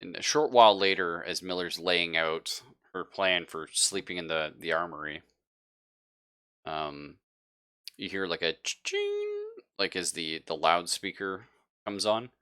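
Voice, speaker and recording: A man's voice: low-pitched, big pitch swings, unhurried, bright, forceful tone, clean tone, no room sound, studio quality. A man acting as game master, narrating a tabletop roleplaying game in an easygoing, conversational way and thinking aloud.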